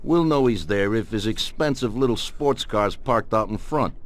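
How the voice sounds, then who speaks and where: Man playing a low-voiced, calculating mafia boss. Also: lively intonation, normal speed, lightly acted. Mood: reassuring, steady. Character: commanding older Italian-American mafia boss, calm, calculating. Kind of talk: video game cutscene acting.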